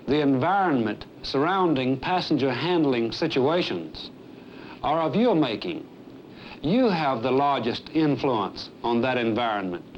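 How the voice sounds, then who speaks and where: An American man in his fifties giving a formal, scripted address as an airline executive, emphatic, earnest and deliberate. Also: medium pitch. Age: 50s. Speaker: airline executive presenting a staff training film. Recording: dull, noisy 1970s film soundtrack.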